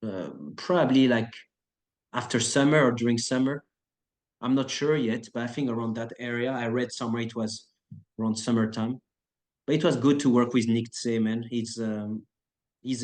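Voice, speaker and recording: Chill guy in his 30s rambling thru an interview, lots of uh and like, choreographer type. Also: mid-range voice. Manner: relaxed, rambling, many fillers and restarts. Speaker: action choreographer and actor. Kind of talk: casual interview chat.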